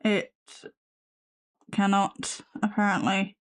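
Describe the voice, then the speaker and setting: Sarcastic woman, slow drawl. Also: mid-range voice, flat delivery. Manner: sassy, skeptical, swears casually. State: losing her voice. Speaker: livestream host reacting to videos. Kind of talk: sarcastic live reaction commentary.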